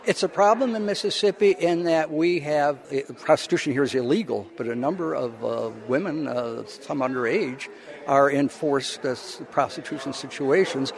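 A male professor is being interviewed, his voice a little high for a man and noticeably creaky. He explains things informally and haltingly, wandering through his answers.

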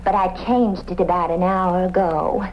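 A woman's voice: on the low side, steady tone, moderate pace, lightly acted. Woman doing a spacey gushing hippie flower shop lady, old radio show, muffled with some hiss. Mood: dreamy, gushing. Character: airy, dreamy hippie flower-shop woman with theatrical flourishes. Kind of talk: comedic radio police drama acting.

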